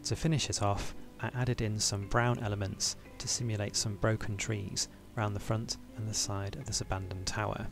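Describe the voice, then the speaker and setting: A man hosting his own channel, reading a scripted review as voice-over in a measured, tidy way. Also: lowish voice, level tone, creaky, music in the background. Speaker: toy-building channel host.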